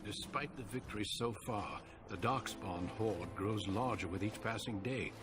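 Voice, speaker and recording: An actor playing a grave, seasoned commander of a warrior order in a fantasy game, speaking in a formal, measured, solemn way. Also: male voice, lowish voice, lightly acted. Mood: grave, resolute. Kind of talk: fantasy game dialogue and scripted narration. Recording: orchestral game music behind voice. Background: music.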